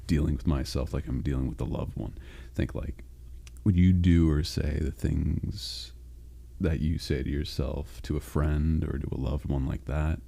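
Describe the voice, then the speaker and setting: A gravelly, gentle sleep demon. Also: male voice, heavily acted. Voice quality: deep gravelly growl. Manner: soft, reassuring, halting and unhurried. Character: caring, supportive male sleep paralysis demon. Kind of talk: comforting roleplay monologue.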